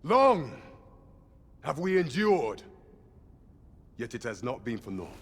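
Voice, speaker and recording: A man's voice: mid-range voice, very animated, slow, husky, exaggerated voice. A man playing a grandiose court herald in a fantasy game, making a formal, declamatory ceremonial proclamation. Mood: solemn, grand. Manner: pompous, declamatory, formal archaic diction. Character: grandiose male court herald addressing nobles. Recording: long hall reverb, loud music behind.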